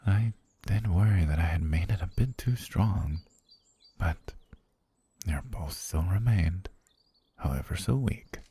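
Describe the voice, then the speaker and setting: A man voicing an obsessive, soft-spoken butler who has kidnapped his lady, talking in a hushed, half-whispered, gently menacing way for an ASMR roleplay. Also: low-pitched, slow, husky, heavily acted. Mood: soothing possessive. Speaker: voice actor.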